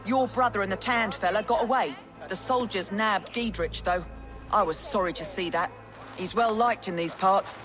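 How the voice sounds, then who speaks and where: A woman acts out a gruff, wary alewife from a medieval village in a video game. Her is folksy and her manner brusque, at a middling pitch, with clear music underneath. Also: normal speed, natural voice. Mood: frank, informative. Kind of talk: dramatic video game dialogue.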